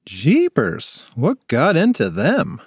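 Man playing a bossy storybook girl, high and lively, fired-up, dry close sound. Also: slow pace, husky, dry recording, exaggerated voice. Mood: intense. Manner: intense, fired-up. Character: bossy determined young girl.

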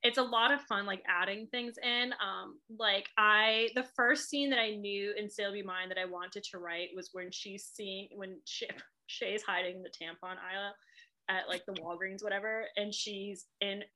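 A woman's voice: mid-range voice, fairly even intonation, normal speed, pushed and bright. A woman from New England, a chatty author guest talking casually with frequent laughs and fillers. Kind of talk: casual chat.

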